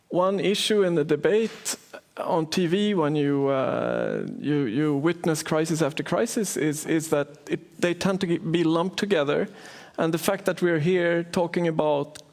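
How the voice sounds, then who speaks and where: A man speaks on a panel, weighing his words and restarting often. His voice sits a little high for a man. He talks in a measured, thinking-aloud way. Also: gravelly, darkish tone. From Sweden. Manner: hesitant, many restarts. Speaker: economist panelist. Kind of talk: panel discussion.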